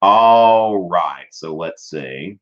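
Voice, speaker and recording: Low-voiced man teaching a livestream class. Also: lively intonation, extremely slow, fairly bright tone, lo-fi sound. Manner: rambling, filler-heavy, checks in with "okay?". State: voice strained from streaming. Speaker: history teacher. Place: small room at home. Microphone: webcam at desk.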